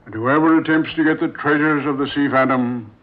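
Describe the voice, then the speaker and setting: An actor playing a sober, skeptical ship's captain with a terse, pondering manner in a 1940s radio drama. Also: male voice, fairly high pitch, a little fast, natural voice. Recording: tinny, band-limited old radio broadcast.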